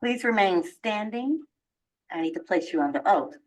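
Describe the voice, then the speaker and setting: A woman's voice: mid-range voice, lively intonation, lightly acted. Woman playing a formal immigration officer. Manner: formal, measured, procedural. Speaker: interviewing officer. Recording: instructional video played from a distance.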